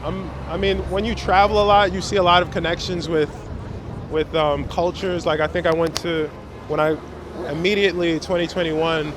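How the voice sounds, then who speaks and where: A man's voice: a little high, steady tone, moderate pace. Young New guy on a noisy street. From Brooklyn, New York. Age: 20s. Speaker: street photographer being interviewed. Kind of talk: casual unscripted interview answers. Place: outdoors on a city street. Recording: noisy outdoor city street with traffic. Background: urban street ambience, vehicles.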